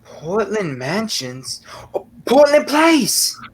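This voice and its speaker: A man's voice: high-pitched, big pitch swings, takes it slowly, heavily acted. A young man acting a chatty working-class London train passenger in a 1930s-style radio play. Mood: excited.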